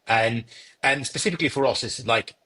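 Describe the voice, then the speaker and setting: A young man from Norway answers questions about his startup in a bright, forceful voice. He speaks in a halting way and keeps repeating words and filling pauses. His pitch sits in the middle for a man. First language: Norwegian. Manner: hesitant, many fillers and repeated words. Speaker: startup founder. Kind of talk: interview answers.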